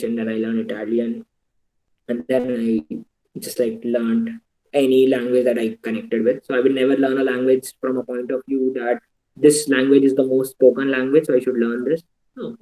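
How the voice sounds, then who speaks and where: A man's voice: mid-range voice, mellow, poor audio. A young man with an Indian English accent, speaking earnestly as a guest speaker, giving students advice over a video call. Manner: earnest, advising, switches into Hindi asides.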